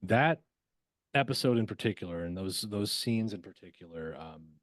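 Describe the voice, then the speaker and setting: A man who works as a voice actor, talking casually as a podcast guest, reflective and halting, often repeating words as he finds his way. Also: on the low side, animated, no room sound.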